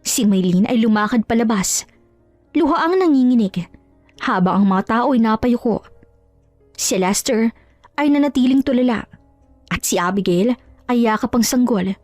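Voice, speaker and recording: Female narrator for dramatic audio fiction: fairly high voice, very dry sound, music underneath. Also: expressive, normal speed, pauses often, a little mellow, no echo. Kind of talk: dramatic scripted story narration.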